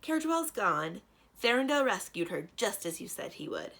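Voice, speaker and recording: Lady reading as chatty young storybook girl, eager n earnest, worries easily, animated. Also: mid-range voice, normal speed, creaky, voice pushed up, heavily acted. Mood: cheerful.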